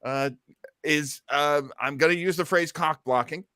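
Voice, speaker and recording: A man's voice: on the high side, a little slow, pushed and bright, clean tone, dry recording, studio quality. A man hosting a listening party with casual, joking commentary in a wry, teasing way.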